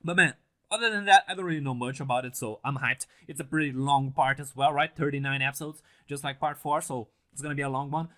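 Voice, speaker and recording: Excitable young man, bright tense voice. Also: medium pitch, fast. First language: Portuguese. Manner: excitable, swears often, laughs a lot, restarts sentences. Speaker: anime reaction channel host. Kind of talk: casual reaction commentary to camera. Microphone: mic on a stand, set a bit farther than usual.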